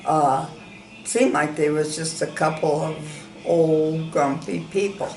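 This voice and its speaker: Woman from South Dakota, unhurried, plain-spoken storyteller, roomy home recording. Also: low-pitched, fairly even intonation, darkish tone, a little hiss or hum. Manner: slow, plain-spoken, rambling recollection. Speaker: interviewee, interviewer's sister. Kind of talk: reminiscing in an interview. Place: room in a ranch home.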